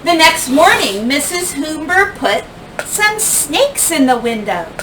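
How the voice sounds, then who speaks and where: A woman teacher reading a children's picture book aloud expressively, with deliberate phrasing, dramatic pauses and emphasis. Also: high voice, big pitch swings. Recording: distant mic, faint background noise.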